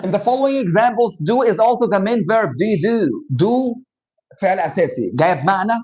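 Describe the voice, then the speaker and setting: High-voiced Egyptian English teacher. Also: male voice, expressive, a little mellow. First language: Arabic. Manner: slow, explanatory, switches into Arabic to explain. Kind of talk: live classroom grammar lesson.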